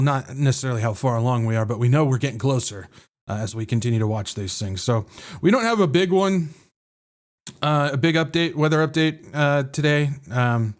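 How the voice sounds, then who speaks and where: Rambling, slightly nasal American man host. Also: mid-range voice. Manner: rambling, filler-heavy, self-correcting. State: nasal from drainage. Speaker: podcast host. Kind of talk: casual livestream talk.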